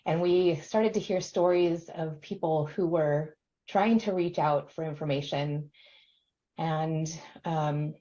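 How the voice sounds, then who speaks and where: A woman's voice: low-pitched, slow, warm tone. A woman who works in extension, speaking steadily in a documentary interview with frequent fillers. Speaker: extension professional. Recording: dull lo-fi recording.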